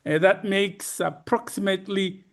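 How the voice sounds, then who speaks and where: A man gives a personal conference presentation in a halting, deliberate, formal and courteous manner. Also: sits high. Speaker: conference speaker, retired ophthalmologist.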